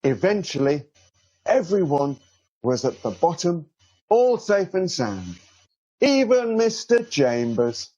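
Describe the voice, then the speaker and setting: A man with a high, slightly husky voice reads a children's storybook. His delivery is slow and very lively, stretching words out for effect. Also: lo-fi sound. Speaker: author reading a children's story. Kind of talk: scripted children's storybook narration.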